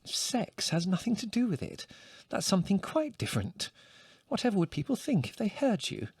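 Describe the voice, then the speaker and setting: A man's voice: high voice, sing-song, normal speed, husky, no room sound, pitched above the performer's own voice, heavily acted. Man playing a fussy middle-aged mum, raised prim voice, scolding, flustered, dry close audiobook sound.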